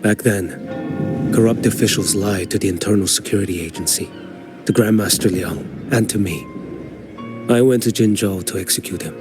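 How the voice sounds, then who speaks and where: An actor voicing a calm, grave swordsman wanderer in a video game, speaking in a measured, solemn way. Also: lowish voice, close to an everyday voice. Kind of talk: game voice acting. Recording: game audio with music underneath.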